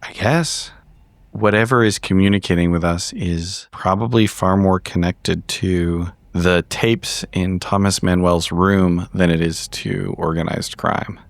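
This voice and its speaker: A man's voice: low-pitched, unhurried, no room sound. A man in character as a dreamy, apologetic agent, musing hesitantly in florid words, in a horror roleplay. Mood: subdued, thoughtful. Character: dreamy, apologetic agent preoccupied with art and the uncanny.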